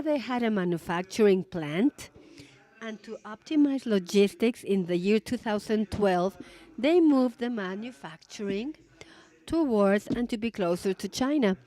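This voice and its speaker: Woman interpreter at a business conference, mid pitch, stops and rephrases a lot. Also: darkish tone. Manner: halting, rephrasing as she goes. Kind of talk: simultaneous interpretation of a business talk.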